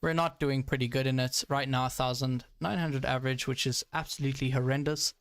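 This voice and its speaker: A man's voice: mid-range voice, steady tone, brisk, clean tone, dry recording. A young man giving casual live commentary while playing a tank game, chatty with frequent fillers and frustrated asides. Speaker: gaming streamer.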